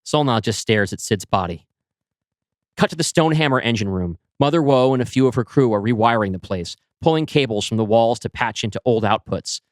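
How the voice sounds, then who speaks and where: A man reading a science fiction script aloud as narrator, in an even, descriptive manner. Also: medium pitch, fairly quick, dry recording, studio quality. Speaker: storyteller.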